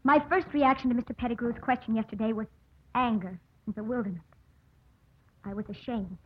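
A woman's voice: on the high side, a little fast, slight background noise, close to an everyday voice. An actress playing an earnest, composed woman lawyer in a vintage radio drama, speaking formally and emphatically. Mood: impassioned. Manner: formal, emphatic courtroom oratory. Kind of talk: 1940s-50s radio drama acting. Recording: muffled old radio broadcast.

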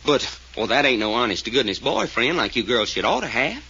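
A good-natured, excitable detective in a 1940s radio serial. His talk is folksy and ungrammatical, with a bright edge and big swings in pitch. The old recording is slightly dull. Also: a little fast, slightly rough, heavily acted. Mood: folksy, puzzled. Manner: folksy, ungrammatical, stammering when flustered.

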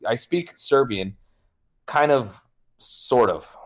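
A young American man chatting as a podcast guest, loose and rambling. He swears freely and drops into quick acted-out quotes for laughs. His voice sits at an ordinary middle pitch. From United States. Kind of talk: casual podcast chat.

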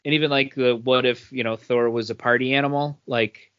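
Male podcast co-host, casual, halting delivery, dull lo-fi sound. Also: medium pitch, steady tone, fairly bright tone, clean tone. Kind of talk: casual chat. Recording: dull lo-fi audio.

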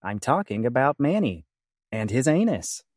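Guy as weird small town coroner, says crude stuff matter of fact, pitch all over, comedy podcast, dry mic. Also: very animated, normal speed, a little mellow, dry recording, close to an everyday voice. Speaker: podcast co-host. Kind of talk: comedy audio drama podcast.

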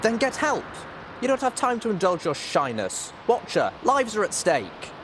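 A man acts out a young pony mare in a storybook, urgent and cross. The voice is pushed up higher and louder, pleading one moment and giving orders the next. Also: expressive, a little fast, noisy background, natural voice. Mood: angry, insistent. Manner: pleading, commanding, exclaiming.

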